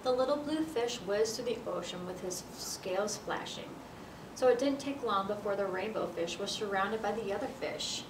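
American woman storyteller, roomy distant sound. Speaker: children's library storyteller. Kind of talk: reading a picture book aloud to children. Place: library children's area, indoors. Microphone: camera a few feet away. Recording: roomy, distant mic with noisy rumble.

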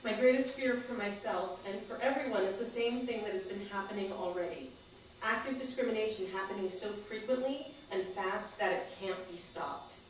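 A woman's voice: medium pitch, moderate pace. A woman reading a personal monologue aloud on a small theater stage. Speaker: performer at a live stage reading. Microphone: distant camera microphone. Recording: echoey theater, far-off camera, faint noise.

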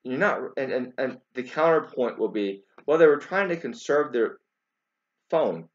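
Man in his forties, informal commentary, very varied pitch, halting with repeated words, emphatic, muffled lo-fi webcam audio. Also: expressive. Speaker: video commentator. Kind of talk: informal spoken commentary to camera. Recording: dull lo-fi webcam sound.

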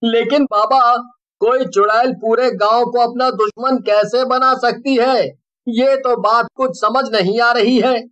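A man's voice: very high voice, steady tone, takes it slowly, fairly bright tone, lo-fi sound, exaggerated voice. A man voicing a young, grumbling but earnest villager in a horror cartoon, with an emphatic delivery. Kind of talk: Hindi horror cartoon acting.

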